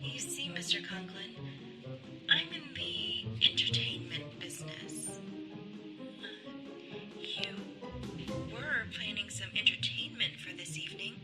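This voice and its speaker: A woman acts a worldly showgirl in a radio comedy. She speaks slowly and forwardly at a medium pitch, with loud music behind her. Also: expressive. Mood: sly, coaxing. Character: forward, worldly female nightclub dancer. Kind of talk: old-time radio sitcom acting.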